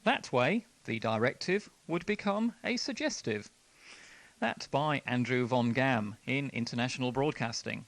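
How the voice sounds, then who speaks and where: A man's voice: mid-range voice, expressive, unhurried, a bit bright, dry recording. A man reading articles aloud as scripted narration, as a radio presenter.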